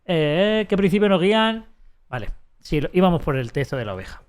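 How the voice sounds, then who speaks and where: A man's voice: high-pitched, very animated, clear, resonant tone. A man with a Spanish accent from Spain reading a text aloud on a live stream, breaking off for sarcastic, mocking asides and restarting his sentences often. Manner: halting, frequent restarts while reading.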